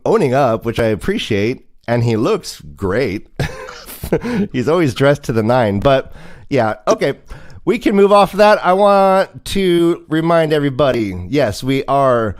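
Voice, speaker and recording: Clear-voiced man hosting casual sports chat. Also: mid-range voice, very animated, a little slow. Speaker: host of a fantasy football livestream.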